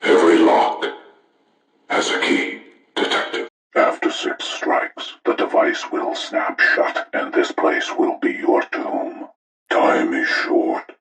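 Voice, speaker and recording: A cold older killer in a horror game, with an extremely deep, breathy rasp. He speaks with ominous deliberation, like a judge handing down sentences. The voice rings with echo. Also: fairly bright tone, full character voice. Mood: cold menace. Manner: deliberate, ominous, coldly moralizing. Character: cold, menacing older male serial killer mastermind setting deadly games. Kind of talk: sinister villain monologue for a horror video game.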